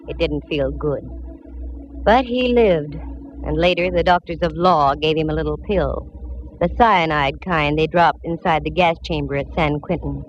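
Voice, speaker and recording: An actress playing a tough, wisecracking female private eye, narrating in brisk hard-boiled style on a 1940s radio drama. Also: deep voice. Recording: dull old radio broadcast with music.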